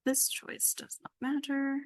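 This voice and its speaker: A woman's voice: high-pitched, monotone, measured pace. A woman giving an informal live coding demonstration as instructor, tentatively thinking aloud as she works. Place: indoor group workshop session.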